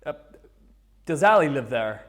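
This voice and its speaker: An actor playing an easily exasperated slacker rock musician in a sitcom, with a wry, conversational delivery. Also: male voice, mid-range voice, sing-song, measured pace, fairly bright tone. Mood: hesitant. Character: exasperated sitcom slacker rocker who works in a music store.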